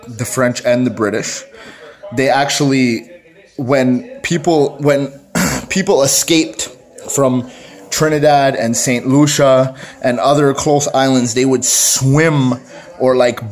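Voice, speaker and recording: A man chatting casually on his vlog, rambling and self-mocking, with plenty of swearing. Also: mid-range voice. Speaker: vlogger. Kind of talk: casual joking vlog chat.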